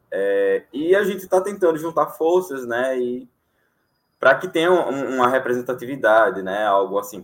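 A young man from Alagoas, Brazil, a sign language interpreter, chatting casually in an interview with frequent fillers and easy laughter. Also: medium pitch. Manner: relaxed, many fillers, laughs easily.

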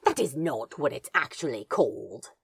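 Woman as husky, gravelly Crowley, a smitten man. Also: low-pitched, big pitch swings, normal speed, no room sound, studio quality, full character voice. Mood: teasing, curious. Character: Crowley, impressed, teasing, tender man in love. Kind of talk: fan audio drama acting.

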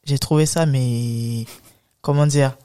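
A woman's voice: rumbling low, fairly even intonation, slow pace, dark tone. A woman hosting a conversational interview, speaking in a thoughtful, informal and wordy manner. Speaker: podcast host, interviewer.

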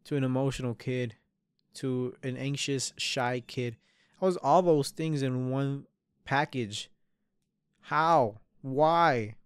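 Candid young man, smooth clear voice. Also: medium pitch, dry recording, clean studio sound. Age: 20s. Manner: candid, swears often, trails off and restarts. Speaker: podcast host. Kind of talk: solo reflective podcast monologue.

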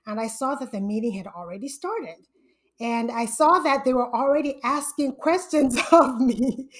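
A woman from Zambia, a guest on a podcast, telling her story in a lively way and laughing often. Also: fairly high pitch. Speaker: podcast guest, full-time stock trader. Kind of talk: casual interview storytelling.

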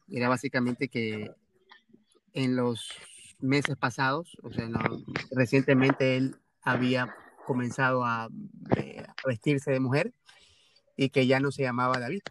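A man's voice: medium pitch, noisy background. Hesitant man with a Guayaquil accent. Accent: Guayaquil Ecuadorian Spanish. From Ecuador. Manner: hesitant, many fillers and restarts, tag questions. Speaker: podcast host. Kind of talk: casual conversational interview.

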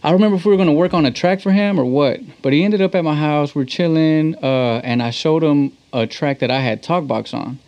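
Male interview guest, slangy musician in relaxed conversation, slightly lo-fi muffled sound. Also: fairly high pitch, a little fast, few pauses. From Texas. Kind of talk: casual chat.

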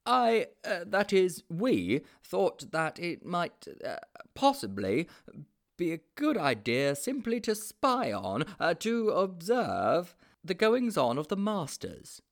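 A man's voice: high voice, huge pitch swings, takes it slowly, voice pushed up, exaggerated voice. Guy doing a self-important schoolboy detective, pompous and solemn, raised voice. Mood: evasive.

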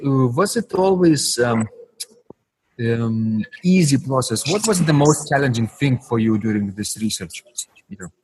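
A man's voice: mid-range voice, animated, unhurried, darkish tone, a little hiss or hum, low-quality recording. A man hosting an interview, asking questions with frequent fillers and encouraging remarks. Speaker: interviewer, host.

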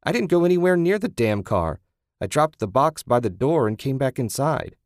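Man doing a shouting, furious wife. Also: on the low side, expressive, moderate pace, no room sound, studio quality. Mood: defensive, angry. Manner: shouting, defensive, raised voice. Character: angry, exasperated wife in a marital fight.

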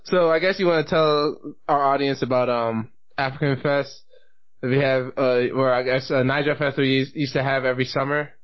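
A man co-hosting a podcast, asking questions in a relaxed, encouraging way. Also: mid-range voice, moderate pace, muffled recording. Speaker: podcast co-host. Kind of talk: casual interview chat.

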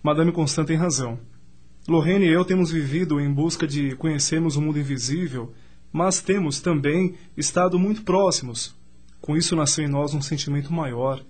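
An actor playing an earnest, bashful young suitor in a radio soap opera, formal and a little hesitant. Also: male voice, on the high side, moderate pace, a little mellow, slightly rough.

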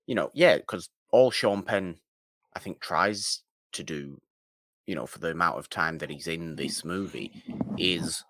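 Male podcast co-host, low and forceful, casual film talk, restates points emphatically, dry recording. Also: lively intonation, a little slow, no echo.

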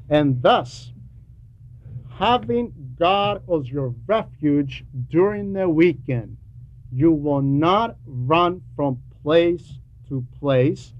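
A man preaching a sermon with emphatic, deliberate phrasing and frequent pauses. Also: a little high. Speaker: preacher reading a translated homily. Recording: dull lo-fi recording with background noise.

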